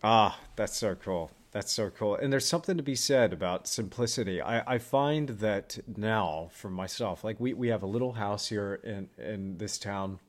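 Deep-voiced man hosting a podcast. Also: normal speed, few pauses. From Texas, United States. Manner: affirming, encouraging, short interjections. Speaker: podcast host. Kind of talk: casual interview chat.

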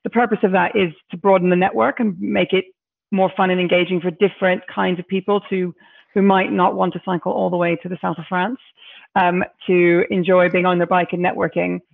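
Brief: hoarse woman, gravelly and raspy, keen but halting, phone-quality audio. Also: lowish voice. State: hoarse, losing her voice. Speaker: incoming charity chair. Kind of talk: remote interview answer.

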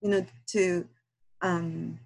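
A woman from Guatemala, a guest on a book podcast, speaking in a hesitant, earnest way with many pauses and fillers. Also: on the low side, little pitch movement, vocal fry, a little mellow, poor audio. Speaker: guest listener and aspiring romance author. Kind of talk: casual podcast chat.

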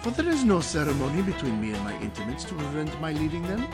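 Casting: man playing nosy, flattering old barber, ornate archaic talker, higher-pitched male voice, heavy music bed underneath. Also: quick pace. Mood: insistent. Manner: wordy, flowery, archaic speech. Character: talkative, meddlesome, ingratiating old male barber of Baghdad. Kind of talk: audio drama storytelling.

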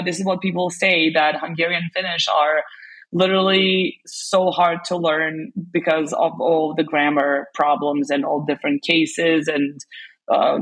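Husky woman, chatty guest. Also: low-pitched, poor audio. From Serbia. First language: Serbian. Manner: chatty, many fillers and restarts, laughs often. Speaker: guest, language specialist. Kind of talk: casual interview chat.

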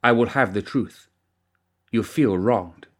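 Man as a composed, commanding syndicate mistress, low-pitched. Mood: sharp command. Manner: measured, formal, authoritative. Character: composed, commanding female crime-syndicate mistress, stern yet compassionate. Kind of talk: audiobook character acting.

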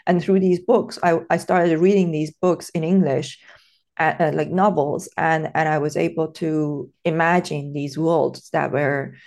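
A woman's voice: sits low, fairly even intonation, unhurried, darkish tone. A woman from Iran hosting a casual podcast interview, thoughtful and hesitant, with many fillers and restarts.

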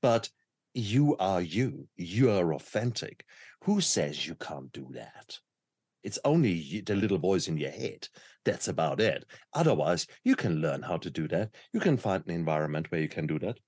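Low-pitched, encouraging interview host. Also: very animated, normal speed, darkish tone, slightly rough, no echo, studio quality. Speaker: interview host, book co-author.

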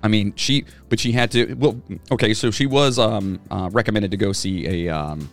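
A man's voice: fairly low pitch, normal speed, soft background music. A man co-hosting a casual podcast chat, a former band singer who rambles with plenty of fillers and restarts. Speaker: podcast co-host.

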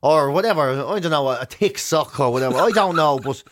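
A man's voice: on the high side, expressive, fairly quick, no echo, clean studio sound. A middle-aged man with an Irish accent, a radio host chatting casually and comically in slangy, mock-indignant style. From Ireland.

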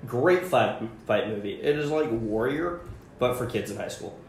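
A man's voice: medium pitch, lively intonation, moderate pace. A young man co-hosting a casual chat, joking and easygoing, laughing often. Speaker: podcast co-host. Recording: echoey room with faint hiss.

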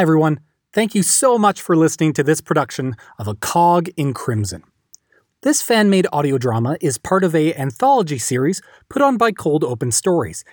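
Upbeat, lively man announcing the outro. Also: a little high, dry recording, clean studio sound. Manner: upbeat, informal. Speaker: producer and host.

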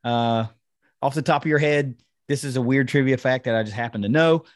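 A man's voice: medium pitch, a little fast, no room sound, clean studio sound. A man hosting a sports podcast, casually breaking down football in an enthusiastic, conversational way. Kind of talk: casual football analysis chat.

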